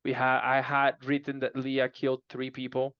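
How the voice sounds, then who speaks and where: Man, gravelly voice, informal halting talk, podcast guest, dry slightly muffled call audio. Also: mid-range voice, completely flat delivery, no echo. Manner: informal, halting, repeats words while explaining. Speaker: podcast guest, fiction co-author. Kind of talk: casual interview conversation.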